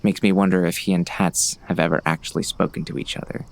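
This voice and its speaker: Weary western gunslinger narrator: very deep, extremely gravelly, dry and brooding, crickets behind him. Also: male voice, little pitch movement, fast. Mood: wry. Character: terse, uneasy gunslinger on horseback, wry and weary. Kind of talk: first-person western audiobook narration.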